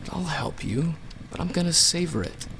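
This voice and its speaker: A man's voice: a little high, expressive, moderate pace, gravelly, a bit bright, a little hiss or hum. A man playing a vengeful king of the gods in a radio play, taunting and theatrical. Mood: gloating, taunting. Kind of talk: audio drama acting.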